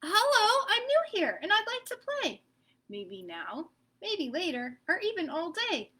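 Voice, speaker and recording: A woman's voice: extremely high pitch, huge pitch swings, measured pace, bright, forceful tone, voice pushed up, full character voice. A woman voicing a shy, hopeful little boy who is a peanut butter character in a storybook, chanting his plea in a sing-song rhyme.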